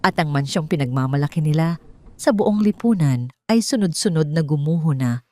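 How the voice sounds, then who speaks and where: Low-pitched Filipino woman narrating drama. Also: expressive, moderate pace, darkish tone. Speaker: storyteller. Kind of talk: scripted dramatic story narration.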